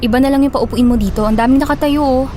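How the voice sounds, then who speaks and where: A woman with a Filipino accent playing a guarded, sharp-tongued young office worker in an audio drama. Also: high voice, racing, slight background noise, natural voice. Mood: hurt, defensive. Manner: scolding, blunt, guarded. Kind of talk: Tagalog audio drama acting.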